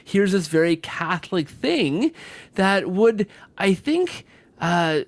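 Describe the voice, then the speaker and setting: A man with a fairly high-pitched voice talks as an interview host. He speaks conversationally and haltingly, doubling back on words and tagging his points with a questioning "right?". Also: sing-song, dry recording. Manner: halting, repeats words, often adds "right?". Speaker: podcast host.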